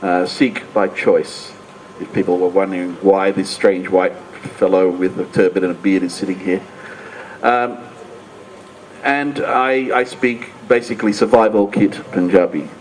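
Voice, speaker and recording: An older man speaks at a book launch panel in a fairly low voice, pausing often with ums and restarts. Faint room noise sits under his voice. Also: steady tone, poor audio. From Australia. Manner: hesitant, many fillers and restarts. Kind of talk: public panel talk.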